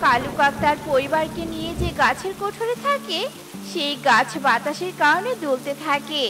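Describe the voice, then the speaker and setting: Female narrator for children's tales, very high-pitched voice, scripted storytelling over prominent music. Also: expressive, normal speed. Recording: loud music behind.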